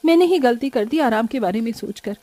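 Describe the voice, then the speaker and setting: A woman's voice: a little high, lively intonation, brisk, warm tone, lightly acted. A woman voicing an overworked, long-suffering young housewife in a cartoon moral story, plaintive and dutiful. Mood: weary, complaining.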